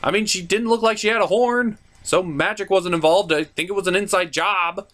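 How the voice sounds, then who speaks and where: A man's voice: high-pitched, fairly quick, sharp tone. A man giving scripted, comedic review commentary with theatrical, mock-incredulous emphasis.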